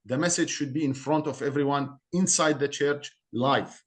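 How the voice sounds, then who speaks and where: A man from Syria with an Arabic accent, a community guest speaking earnestly in halting English and repeating words as he searches for them. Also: medium pitch. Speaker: guest, Assyrian community member. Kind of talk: interview on a call-in podcast.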